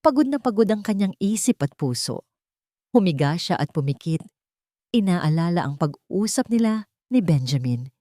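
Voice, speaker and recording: A woman narrating a scripted romance drama in a calm, measured way. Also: medium pitch, no room sound, studio quality.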